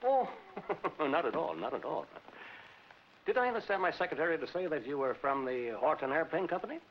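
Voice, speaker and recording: A man's voice: fairly high pitch, big pitch swings, brisk. An actor playing a greedy, smug middle-aged businessman with oily courtesy in a 1940s comedy film. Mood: courteous. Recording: muffled, noisy 1940s film soundtrack.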